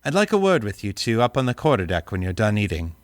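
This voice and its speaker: A man's voice: fairly low pitch, big pitch swings, fast talker, dry recording, studio quality. A British man voicing an audiobook, breaking off into joking asides and silly sing-song bits between lines. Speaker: audiobook voice actor. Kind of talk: playful recording outtakes.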